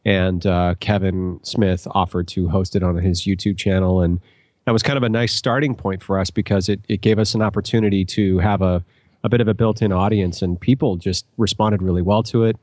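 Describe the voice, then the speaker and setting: A man's voice: low voice, fairly even intonation, a little fast, darkish tone, dry recording. A middle-aged American man chatting casually as a podcast guest, telling anecdotes.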